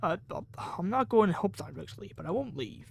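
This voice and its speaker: A man's voice: sits high, big pitch swings, brisk, gravelly, slight background noise, exaggerated voice. Man voicing a frightened, stammering schoolboy. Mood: scared, hesitant. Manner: stammering, hesitant. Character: frightened, hesitant injured schoolboy.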